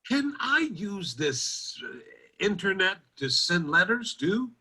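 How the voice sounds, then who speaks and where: A man's voice: high voice, big pitch swings, takes it slowly, bright, forceful tone, husky, exaggerated voice. A man playing a folksy, bewildered nineteenth-century general store owner in a radio drama, with plain-spoken country talk. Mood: curious, eager. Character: old-time West Virginia general store proprietor from the 1800s, folksy, bewildered. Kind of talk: old-time radio drama acting.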